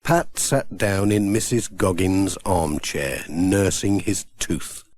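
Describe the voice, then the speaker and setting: A man's voice: low-pitched, takes it slowly, a little mellow, no echo. A man narrating a scripted children's story as an audiobook reader.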